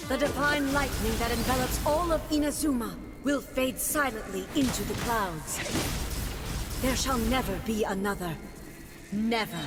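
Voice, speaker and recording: A woman's voice: fairly high pitch, normal speed, close to an everyday voice. A woman voicing a cold, imperious ruler who delivers solemn, commanding pronouncements in a video game. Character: cold imperious puppet ruler, female, ageless. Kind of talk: video game boss dialogue. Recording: long reverb, game music behind.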